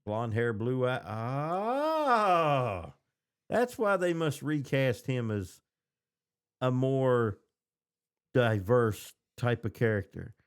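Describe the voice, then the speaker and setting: A man in a casual podcast chat, informal and opinionated, often halting as he searches for his words. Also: medium pitch, big pitch swings, clean tone. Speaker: podcast co-host. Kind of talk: casual fan discussion.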